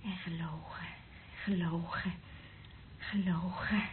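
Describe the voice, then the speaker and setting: Through a narrow, noisy old radio recording comes an anguished woman in love. She speaks slowly and intensely, her radio-drama delivery sliding between outcries and hushed whispers. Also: on the low side, steady tone. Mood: hushed, confessional. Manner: intense, swinging from cries to slow whispers. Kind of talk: radio play acting.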